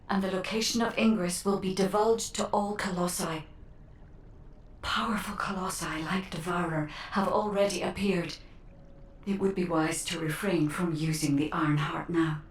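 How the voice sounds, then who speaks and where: An actress playing a calm, grave guide in a video game, speaking in a formal, deliberate, solemn way. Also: female voice, mid-range voice, slight background noise, natural voice. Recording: roomy, slightly distant sound.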